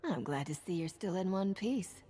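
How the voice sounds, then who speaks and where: Husky, cool, tough heroine. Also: female voice, on the low side, lively intonation, moderate pace, warm tone, big-room sound. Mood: wry. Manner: cool, dry. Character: tough, wry female sci-fi hero. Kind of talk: video game cutscene acting.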